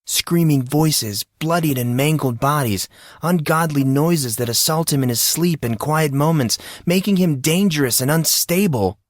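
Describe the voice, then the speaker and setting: Man voicing an intense, troubled teenage boy, pitched up. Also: a little slow, no room sound, studio quality, natural voice. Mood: anguished confession. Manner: impassioned, dramatic.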